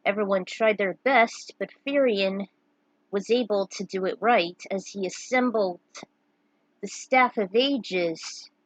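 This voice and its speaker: A woman's voice: mid-range voice, slow pace, no echo, rough recording. Woman casually narrating, close dry sound. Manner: informal asides, interrupting own reading to comment. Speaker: narrator reading own fan fiction. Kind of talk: casual recap and amateur story reading.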